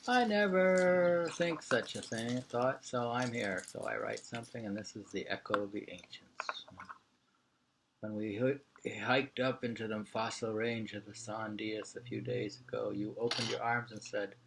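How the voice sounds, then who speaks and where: A man's voice: fairly low pitch, measured pace, vocal fry, noisy background. A man reading an interview aloud in an informal way. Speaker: reader of a printed interview.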